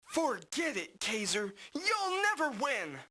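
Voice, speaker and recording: High, earnest young game hero. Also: female voice, sing-song, takes it slowly, sharp tone, heavily acted. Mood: defiant. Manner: earnest, formal. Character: earnest, brave young apprentice hero, polite and resolute. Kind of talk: video game cutscene acting.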